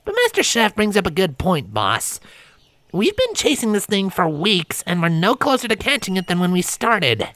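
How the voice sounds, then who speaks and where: A man's voice: sits high, huge pitch swings, normal speed, a bit bright, no echo, pitched above the performer's own voice, heavily acted. A man voicing a blunt, wry female griffon mercenary in a fantasy story. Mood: wry, grumbling.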